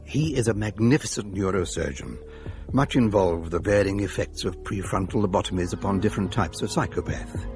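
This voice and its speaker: An actor playing a wry, sceptical dying gentleman in a radio horror drama, with a British accent and a dry, politely reserved manner. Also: low voice, lively intonation, fairly quick, music in the background. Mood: measured, reflective. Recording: faint background noise.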